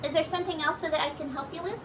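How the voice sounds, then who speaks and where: A woman voicing an eager, helpful male bird in a storybook, exclaiming and stammering a little in excitement. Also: high voice, very fast, distant mic, noisy background, voice pushed up, lightly acted. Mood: bright, helpful.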